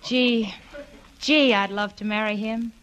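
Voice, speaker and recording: An actress playing a dry, sarcastic, exasperated young roommate, the sensible one in a 1940s radio comedy. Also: female voice, fairly high pitch, steady tone, slow, a bit bright, dull audio. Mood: wistful, exasperated. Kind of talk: 1940s radio sitcom acting. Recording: noisy 1940s radio recording.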